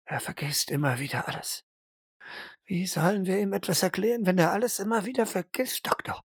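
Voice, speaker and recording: A woman's voice: mid-range voice, lively intonation, fairly quick, breathy edge, no room sound, clean studio sound. A woman playing a frustrated interrogator in an audio roleplay, terse and exasperated. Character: frustrated interrogator probing a prisoner's dreams.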